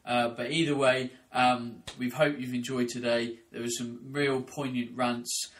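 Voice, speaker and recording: A man's voice: mid-range voice, little pitch movement, a little slow, recorded from a distance. A man hosting a podcast, talking bluntly and casually. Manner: blunt, chatty, says mate. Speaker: podcast host.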